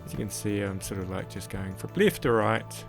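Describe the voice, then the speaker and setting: A man with a croaky voice casually narrating a woodcarving tutorial in voice-over, rambling with lots of "sort of, like". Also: lowish voice, lively intonation, moderate pace, over music. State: croaky voice after illness. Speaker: woodcarving channel host.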